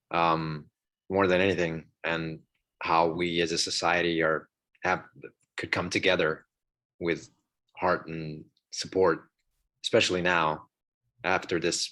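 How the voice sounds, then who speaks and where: A man speaking as a cast member on a panel, casual and reflective, rephrasing haltingly as he goes. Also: sits low, little pitch movement, a bit bright.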